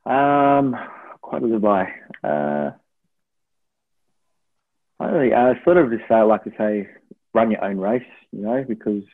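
Husky Australian man on a phone-like line. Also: on the low side, expressive, a little slow, pauses often. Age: 20s. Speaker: podcast guest, university student. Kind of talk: casual interview chat. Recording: thin phone-like line with room echo.

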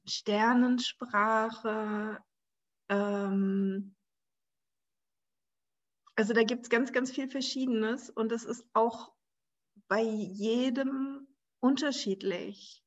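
A woman hosting a spiritual podcast on her own, talking in a relaxed, searching way with many soft pauses and light laughs. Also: fairly high pitch, muffled recording. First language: German. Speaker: podcast host. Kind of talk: casual solo podcast monologue.

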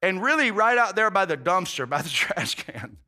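A man's voice: high-pitched, big pitch swings, moderate pace, bright, forceful tone. A male pastor preaching a conversational sermon, earnest and informal, often restarting phrases and saying "man".

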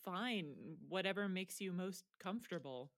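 Female actor as stressed teen girl, deadpan, exasperated, naturalistic drama dialogue, dry close sound. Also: on the low side, normal speed, no room sound. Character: deadpan, stressed teenage girl, high school soccer player.

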